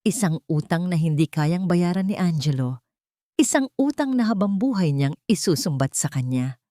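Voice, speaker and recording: A low-voiced woman narrates a scripted love drama. Her delivery is measured and solemn, like serious storytelling. The sound is very dry, with no echo around the voice. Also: lively intonation, mellow.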